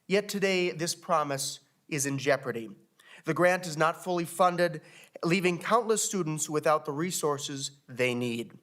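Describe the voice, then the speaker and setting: A man's voice: a little high, a little slow, a bit bright. A young man from Minnesota, a student leader, giving earnest prepared testimony into a desk microphone at a legislative hearing. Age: young adult. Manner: earnest, formal, speechlike.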